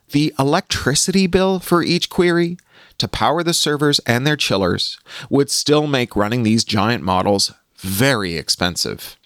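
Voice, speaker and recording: A man hosting a podcast, reading a scripted opinion column aloud in an articulate, measured and emphatic way. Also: mid-range voice, a bit bright, dry recording, studio quality. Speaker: podcast host reading own magazine column.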